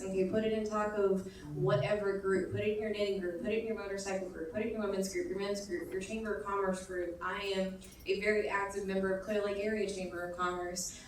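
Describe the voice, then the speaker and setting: A woman's voice: medium pitch, a little hiss or hum. A woman on a business panel giving advice in an emphatic, chatty way, checking in with the audience often. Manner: emphatic, chatty, keeps saying okay. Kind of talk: panel discussion answer. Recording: echoey hall, mic far off.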